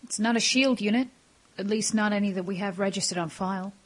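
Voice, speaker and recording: Woman acting female intelligence agent, audio drama, medium pitch, deadpan, clipped, composed. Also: fast talker, close to an everyday voice. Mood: businesslike.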